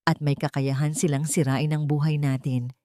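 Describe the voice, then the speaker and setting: A woman voicing a serious man who explains things gravely in an audio drama. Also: deep voice, flat delivery, moderate pace, dark tone, no room sound, clean studio sound, lightly acted. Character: serious man revealing a grave family secret.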